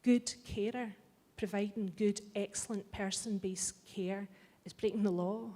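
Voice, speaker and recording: A woman's voice: mid-range voice, fairly even intonation, a little slow, warm tone. A middle-aged Scottish woman giving a blunt, wry and impassioned speech to a live audience. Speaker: festival speaker. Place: large hall. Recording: long hall reverb.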